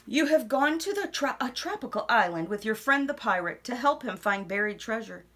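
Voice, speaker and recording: A woman with a fairly high voice reads a picture book aloud to children. Her pitch swings in a lively way, and she uses dramatic pauses and drops into hushed asides. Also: slight background noise. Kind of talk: expressive children's read-aloud.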